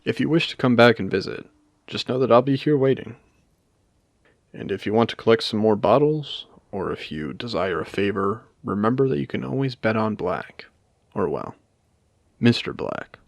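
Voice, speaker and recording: Casting: male actor as world-weary AI casino owner for audio drama, genteel and theatrical, low voice with swooping, expressive intonation. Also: vocal fry, dry recording. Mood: courteous farewell. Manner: measured, genteel, theatrical, with pointed pauses. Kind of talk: intimate scripted audio roleplay addressed to the listener.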